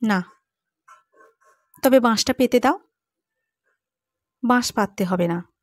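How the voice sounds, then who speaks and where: Woman reading a troubled male schoolmaster, terse, clipped, slow, dry sound. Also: a little high, dry recording. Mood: curt. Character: troubled grieving village schoolmaster, curt man.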